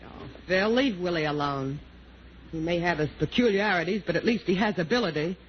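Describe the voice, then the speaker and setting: A woman acting in a 1940s radio sitcom as a dry, needling, sharp-tongued wife. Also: fairly low pitch, animated, a little fast, close to an everyday voice. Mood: teasing. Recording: muffled, hissy 1940s radio recording.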